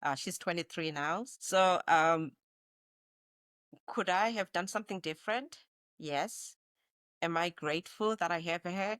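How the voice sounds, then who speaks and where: A woman's voice: lowish voice, unhurried, long pauses, a bit bright, smooth, dry recording. A woman in her forties from Zimbabwe, a nurse practitioner speaking reflectively as an interview guest. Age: 40s. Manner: reflective, with frequent restarts. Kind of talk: conversational interview.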